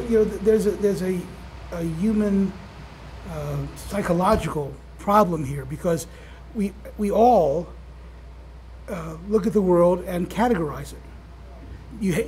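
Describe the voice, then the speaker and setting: A soft-spoken man leading a class discussion, talking in a halting way as he thinks aloud. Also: high voice, a little hiss or hum. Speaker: instructor. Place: classroom with air conditioning.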